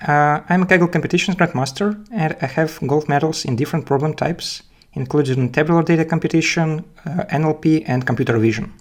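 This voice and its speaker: A man with a Belarusian accent giving technical explanations as a guest speaker, haltingly, often repeating words. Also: a little high. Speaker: guest speaker, data scientist. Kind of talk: technical presentation and interview answers.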